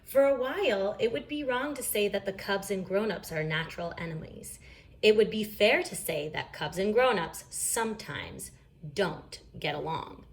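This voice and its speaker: A woman's voice: medium pitch, expressive, moderate pace, poor audio. A woman reading a children's storybook aloud expressively, with dramatic emphasis. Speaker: story reader.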